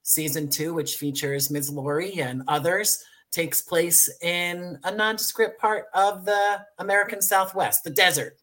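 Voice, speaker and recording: A man's voice: high-pitched, unhurried, few pauses, bright, forceful tone. Articulate man as interview guest. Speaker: podcast creator, interview guest. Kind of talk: interview conversation.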